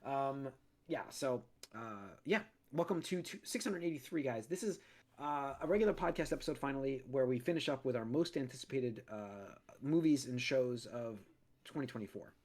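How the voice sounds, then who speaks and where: A man hosting a casual podcast at his studio mic, his mid-range voice a touch raspy from a recent cold. He talks in loose, rambling runs, stopping and restarting often. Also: medium pitch, expressive, moderate pace, darkish tone. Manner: rambling, many restarts and fillers. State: recovering from a cold, slightly raspy. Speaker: podcast host. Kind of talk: casual chat.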